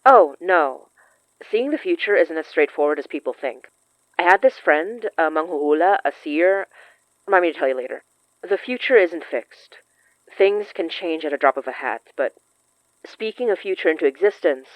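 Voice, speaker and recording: A woman acting in an audio drama as a wary, thoughtful occult expert who explains things in an earnest, measured way. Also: sits low, expressive, no echo, highs cut off.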